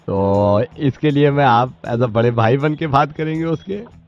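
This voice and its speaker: A man's voice: medium pitch, measured pace, close to an everyday voice. A man playing a stubborn middle-aged father arranging a marriage, speaking in a matter-of-fact, insistent and evasive way on a phone call. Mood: bland, insistent. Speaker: prank caller. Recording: narrowband phone line. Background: faint music.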